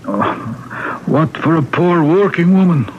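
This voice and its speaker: Hissy, muffled vintage radio. parish priest, earnest and compassionate, fairly high male voice, measured delivery. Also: lively intonation. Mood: earnest, solemn. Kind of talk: 1970s radio drama acting.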